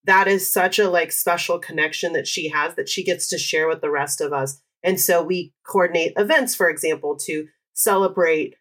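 Woman guest, low register, methodical and example-heavy explainer. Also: a bit bright. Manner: measured, explanatory, uses many examples. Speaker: employee resource group site lead. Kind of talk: podcast interview guest.

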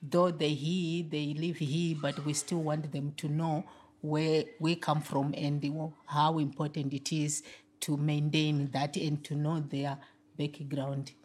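A woman's voice: low-pitched, flat delivery, slow, darkish tone. A middle-aged woman with a Zimbabwean accent, a mother speaking earnestly in an interview and repeating her key points. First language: Shona. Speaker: mother in a migrant community group. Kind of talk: interview answer.

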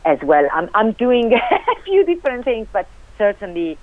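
A woman's voice: a little high, huge pitch swings, normal speed, no echo. A woman from Italy with an Italian accent, a guest on a radio interview, speaking hesitantly with many fillers and restarts. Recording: tinny phone line.

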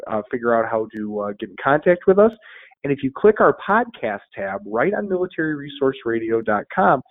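A man talks as a guest in a casual, upbeat way, stumbling over repeated words as he plugs his links. His voice sits at a middle pitch and comes through a narrow, phone-like band. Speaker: podcast guest. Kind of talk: casual promotional interview talk. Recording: phone-like band-limited audio.

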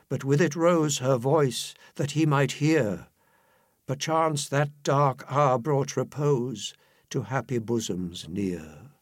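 A man recites poetry with solemn, theatrical weight. His voice is husky and he takes his time over each phrase. The delivery is measured and dramatic throughout. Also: medium pitch, no echo, clean studio sound. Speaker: poetry reader.

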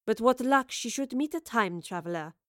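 A woman's voice: a little high, expressive, moderate pace, dry recording, clean studio sound, lightly acted. A woman playing a power-hungry villainess in an audio drama, contemptuous and imperious, sneering and hissing her words.

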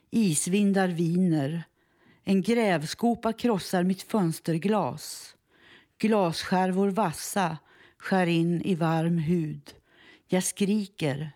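A woman recites poetry in a solemn, measured way. Her voice sits slightly low and has a dark, mellow tone. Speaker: poetry reader.

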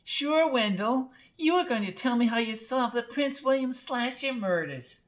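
A man's voice: very high-pitched, animated, a little slow, fairly bright tone, voice pushed up, full character voice. A man voicing a brassy, gum-chewing blonde woman with a taunting, flirty manner.

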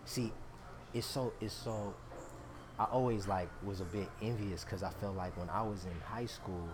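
Low-voiced man in his thirties, noisy background. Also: moderate pace. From Oakland, California. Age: 30s. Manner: animated, agreeable back-and-forth with slang. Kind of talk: casual podcast chat.

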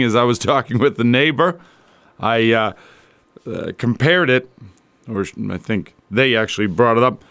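A man with a slightly rough, strained voice hosting a sports talk radio show in a casual, rambling, conversational way. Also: mid-range voice, a bit bright, dry recording. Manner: colloquial, rambling, conversational asides.

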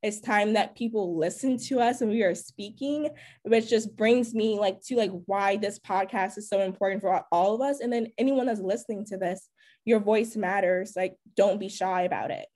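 A woman's voice: medium pitch, normal speed, darkish tone. Young female co-host, fervent and heartfelt, everyday mid voice. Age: young adult. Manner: passionate and emphatic, filler "like". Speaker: podcast co-host. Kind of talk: podcast chat.

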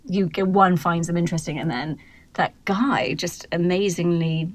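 A woman co-hosts a podcast from a cupboard in a hotel room, talking in a hesitant, restarting way full of ums. Her voice sits a little low, and her computer mic peaks on louder moments. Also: fairly even intonation, moderate pace. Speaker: podcast co-host. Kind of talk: casual chat. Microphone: computer mic, peaking.

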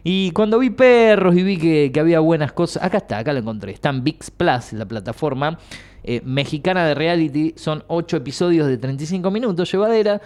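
Chatty Argentine radio host, slightly high. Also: male voice, expressive, a little fast, darkish tone. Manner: chatty, jokey, rambling. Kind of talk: casual radio chat. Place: radio studio.

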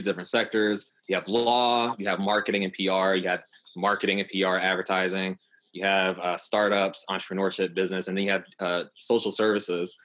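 Host, late-20s man, lowish voice, runs a panel talk with an easy upbeat flow. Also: a bit bright. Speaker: podcast host. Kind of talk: hosted panel conversation.

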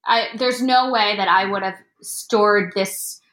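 Young woman guest from Florida, bright tone, hesitant and chatty, roomy echo. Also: medium pitch, takes it slowly. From Florida, United States. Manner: chatty, hesitant, many fillers. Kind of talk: casual interview.

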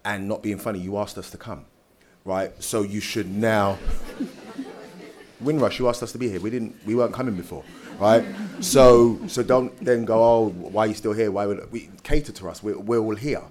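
A man's voice: on the low side, steady tone, moderate pace, darkish tone. A man speaking emphatically and conversationally as a panelist, often checking that listeners follow. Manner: emphatic, rhetorical check-ins. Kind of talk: panel discussion, conversational answer. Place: live panel event.

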